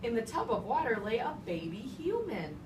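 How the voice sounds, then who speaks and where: A woman teacher reading a storybook aloud to young children, pausing to question them and repeat their answers back. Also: fairly high pitch, big pitch swings. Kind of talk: interactive storybook read-aloud with class questions. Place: classroom with children. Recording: roomy, distant, clearly noisy classroom recording.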